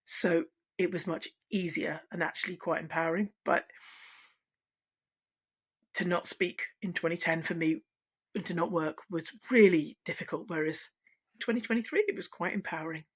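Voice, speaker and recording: Husky woman musing on her voice. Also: fairly low pitch. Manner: candid, reflective, with frequent restarts and pauses. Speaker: singing and voice teacher. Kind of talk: unscripted personal vlog. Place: at home, wrapped in a blanket. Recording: dull, lo-fi sound.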